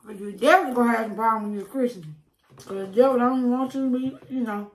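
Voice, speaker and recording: A woman chatting casually while eating on camera, with preachy asides, frequent laughs and a slightly hoarse voice, recorded on a close mic at home. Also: on the high side, quick pace, echoey room, slight background noise, rough recording. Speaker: mukbang creator. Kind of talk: casual eating-show chat.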